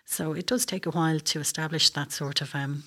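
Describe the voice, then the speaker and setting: A woman's voice: sits low, fairly even intonation, a little fast, a bit bright. A middle-aged woman with an Irish accent, explaining things earnestly as a guest in a conversational interview. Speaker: air traffic control officer, interview guest.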